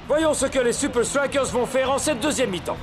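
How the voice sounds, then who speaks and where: A cartoon soccer commentator, with an extremely high male voice. He calls the match in theatrical, excitable exclamations over loud music. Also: brisk. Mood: dramatic.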